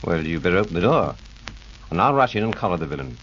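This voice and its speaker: A man's voice: low-pitched, sing-song, fast talker, fairly bright tone, breathy edge, exaggerated voice. A man in a vintage radio play voicing a jolly, hearty ship's steward. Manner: hearty, chuckling. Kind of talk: 1940s radio acting. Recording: very noisy dull old radio recording.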